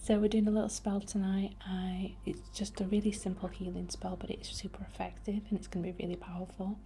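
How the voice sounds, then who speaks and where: A young woman whispering breathily and gently as she guides an ASMR meditation in an informal, unhurried way. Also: medium pitch, flat delivery, a little hiss or hum. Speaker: ASMR host guiding a healing session.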